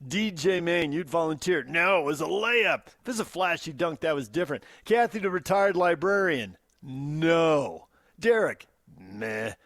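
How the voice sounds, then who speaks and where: A man's voice: on the high side, lively intonation, unhurried, a bit bright, no room sound, studio quality. An American man co-hosting a sports talk radio show, bantering and reading out comments in punchy, emphatic short phrases. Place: radio studio. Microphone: close studio microphone.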